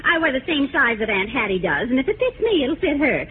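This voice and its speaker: Fussy housewife, really high fluttery voice, swoopy, tinny old radio. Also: female voice, very animated, fast talker. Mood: cheerful, fussy. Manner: fluttery, chatty, easily flustered. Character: fussy, excitable middle-class housewife and mother. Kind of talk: 1940s radio comedy acting. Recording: tinny, noisy old-time radio recording.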